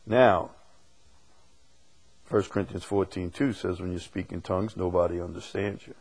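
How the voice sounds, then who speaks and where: Preacher with a swinging, emphatic delivery. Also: male voice, sits low, steady tone, normal speed, darkish tone. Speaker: preacher leading a Bible study. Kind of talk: teaching with questions from the congregation.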